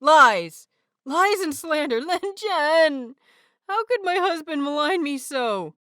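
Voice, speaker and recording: A woman's voice: high voice, animated, takes it slowly, bright, forceful tone, no room sound, clean studio sound, voice pushed up, full character voice. A woman voicing a dramatic, playful young man who wails and pouts in mock heartbreak. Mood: mock outrage. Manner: melodramatic wailing.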